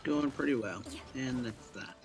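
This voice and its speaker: A man giving casual, low-key let's play commentary, unhurried and muttering as if to himself while he plays. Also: music in the background. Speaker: gaming streamer.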